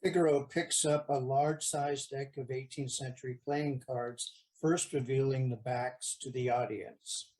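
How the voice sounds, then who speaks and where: A man's voice: mid-range voice, fairly even intonation, measured pace, few pauses, darkish tone, low-quality recording. A man narrating an audio play, reading out scripted stage directions.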